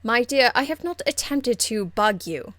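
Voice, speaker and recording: A woman voicing a haughty, aristocratic lady mage from a fantasy game, icily polite, measured and condescending. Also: fairly high pitch, lively intonation, fairly bright tone, no echo, clean studio sound. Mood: poised menace. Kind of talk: video game character acting.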